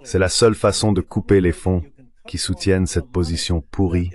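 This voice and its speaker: A man's voice: deep voice, fairly even intonation, normal speed, a little mellow. A man's synthetic dubbing voice reading political commentary in a formal, articulate manner. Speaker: voice-over translator for a panelist.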